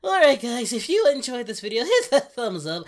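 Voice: medium pitch, sing-song, moderate pace, lightly acted. A performer voicing a boastful but easily frightened cartoon anime hero, whiny and stammering, in a comedic reaction video. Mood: uneasy sign-off. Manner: whiny, frantic, stammering, prone to shrieking. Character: cartoon anime hero, jumpy scaredy-cat, boastful yet panicky.

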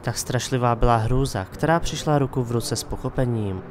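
A man's voice: medium pitch, slight background noise. A man hosting and narrating a scripted, dramatic fantasy story. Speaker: narrator and host. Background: faint background music.

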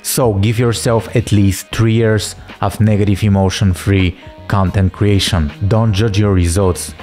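A man with a fairly low voice and a Bulgarian accent talks straight to camera from his living room. He reads a script in a steady, earnest, instructive way, close to a studio mic. Soft music plays faintly underneath. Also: unhurried. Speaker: content creator. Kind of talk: scripted talking-head advice. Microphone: large studio mic on a stand. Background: soft background music.

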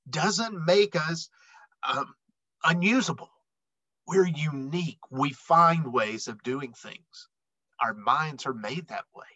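A middle-aged man reading a children's picture book aloud expressively, with emphatic, dramatic phrasing and drawn-out words. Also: on the high side, bright, forceful tone, no room sound. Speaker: read-aloud storyteller.

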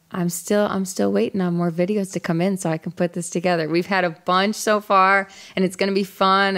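Young woman host, bubbly and upbeat, a bit low-voiced, close stand mic. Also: gravelly. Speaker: host and singer. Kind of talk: live-streamed music chat show. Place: small rustic studio set with guitar. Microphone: studio mic on a stand.

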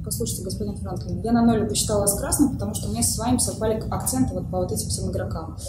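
A woman's voice: mid-range voice, very fast. A woman at a mafia game table putting her reasoning to another player, checking it with him. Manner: questioning, checks with the listener. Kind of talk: game argument. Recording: echoey room from a distance with hiss.

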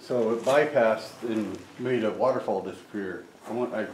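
A man's voice: on the low side, moderate pace, darkish tone. A man chatting casually and explaining things to friends on a camping trip, picked up outdoors by a camera microphone. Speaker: camping companion and guide. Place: outdoors in the woods at a campsite. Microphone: camera microphone, a little away. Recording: noisy outdoor camera audio.

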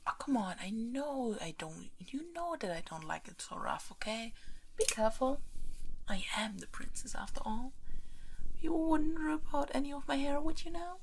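A woman performing an ASMR roleplay as a haughty, teasing, demanding young princess, soft-spoken and close, often slipping into a whisper. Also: high voice, normal speed, full character voice, low-quality recording. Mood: bossy, teasing. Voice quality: breathy, often dropping into whispers. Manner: playfully bossy, imperious, expressive.

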